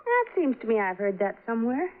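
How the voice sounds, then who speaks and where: A woman's voice: fairly high pitch, big pitch swings, a little fast. An actress playing a brash, blunt young ranch woman in her twenties in a 1950s radio drama. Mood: breezy, blunt. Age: young adult. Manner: blunt, challenging. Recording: narrow old radio recording.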